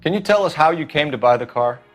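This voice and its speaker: An actor playing a polite, businesslike police detective in a video game, questioning formally and methodically. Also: male voice, on the high side, expressive, fast talker, soft background music, natural voice. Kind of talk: video game character acting.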